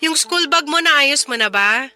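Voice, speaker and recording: Worn out mom in radio drama, high sharp voice, naggy but caring, soft music behind. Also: female voice, lively intonation, a little slow, heavily acted. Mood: weary, brisk. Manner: weary, scolding, emotive. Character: tired, overworked single mother, impatient but caring. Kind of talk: Tagalog radio drama acting.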